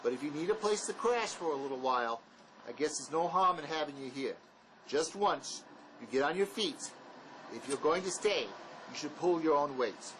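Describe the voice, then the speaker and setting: A hard-nosed man on the run , played by an actor, speaks in a slightly high voice. He is blunt, bossy and full of sarcasm, in the style of a tense drama scene. A faint ambient rumble sits under the recording. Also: animated, moderate pace, fairly bright tone, low-quality recording. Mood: dry sarcasm. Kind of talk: dramatic dialogue acting.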